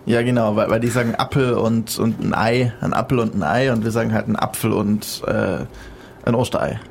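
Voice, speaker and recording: A man's voice: lowish voice, a little fast, creaky, slight background noise. A man co-hosting a casual podcast, joking and teasing. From Swabia. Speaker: podcast co-host.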